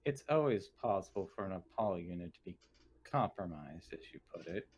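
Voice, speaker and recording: A man playing a coolly analytical, formal company official in a tabletop roleplay, speaking deliberately and precisely. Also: low-pitched, lively intonation, a little mellow, slightly rough. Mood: formal, guarded.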